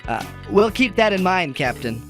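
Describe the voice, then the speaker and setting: A man playing a formal, courteous aide who gently chides his boss, in a satirical radio play. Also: sits high, sing-song, normal speed, soft background music. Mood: disapproving. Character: deferential, prim male aide, a gently chiding conscience.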